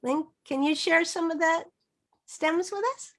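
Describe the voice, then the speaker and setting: High-voiced woman leading an interactive read-aloud. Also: fairly bright tone, slight background noise. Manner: modeling phrases slowly, asking prompting questions. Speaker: reading teacher. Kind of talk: interactive children's read-aloud with coaching tips. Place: online video session.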